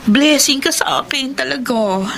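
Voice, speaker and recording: An actress playing an earnest young working wife in a Filipino radio drama, expressive and chatty. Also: female voice, high voice, measured pace, vocal fry, heavily acted. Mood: grateful, playful. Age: young adult.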